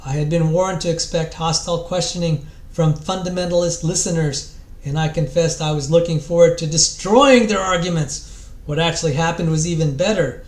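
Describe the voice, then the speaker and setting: A man's voice: fairly high pitch, steady tone, normal speed, a little mellow. A man giving a dramatic, theatrical reading aloud from a book, stressing his phrases emphatically. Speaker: reader of a book introduction. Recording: echoey, roomy sound.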